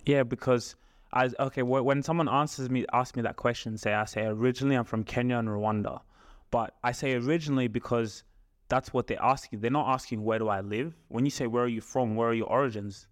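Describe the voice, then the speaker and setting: A young man in casual conversation, asking questions and thinking out loud. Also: mid-range voice, steady tone, fairly quick, no room sound, clean studio sound. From Australia. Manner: questioning, reflective. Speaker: podcast co-host, son.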